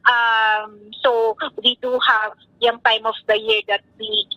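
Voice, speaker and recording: A woman's voice: a little high, flat delivery, slow pace, pushed and bright, no echo, lo-fi sound. A woman with a Filipino accent explaining off the cuff in an interview, mixing Tagalog words into English.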